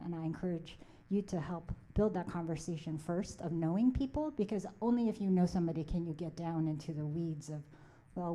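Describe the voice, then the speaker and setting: A woman from the Philippines, Tagalog her first language, speaks on a conference panel. Her voice sits a little low and sounds very dark and mellow. She is earnest and encouraging and sprinkles in plenty of "like"s. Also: poor audio. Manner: earnest, encouraging, speaks in quick bursts with many "like"s.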